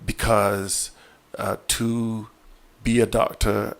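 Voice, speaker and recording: A man, a physician guest in an interview, answering in a measured, reflective way with many fillers and restarts. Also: lowish voice, level tone, creaky.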